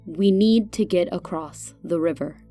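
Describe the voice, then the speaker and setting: Woman acting a low-voiced, steady older female guide. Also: measured pace, soft background music, close to an everyday voice. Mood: knowing, steady. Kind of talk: audio drama acting.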